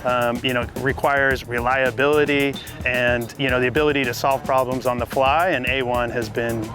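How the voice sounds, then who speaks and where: A man's voice: medium pitch, little pitch movement, normal speed, fairly bright tone, faint music behind. A man, a production manager, speaking plainly in an on-camera interview.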